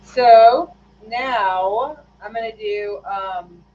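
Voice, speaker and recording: A woman chatting casually on a live stream as she paints fishing lures, rambling and hesitant with lots of fillers, her voice a bit hoarse. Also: a little high, extremely slow, mellow, dull audio. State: slightly hoarse after losing her voice. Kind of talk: casual live-stream chat while working.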